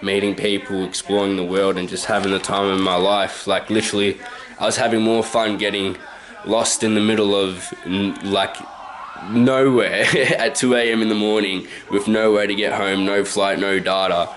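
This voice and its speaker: A man's voice: fairly low pitch, flat delivery, normal speed, fairly bright tone, rough recording. A man in his twenties with an Australian accent, telling a casual, rambling story to his handheld camcorder at home, correcting himself and chuckling often. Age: 20s. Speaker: vlogger. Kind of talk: casual story-time monologue. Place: his own house, indoors.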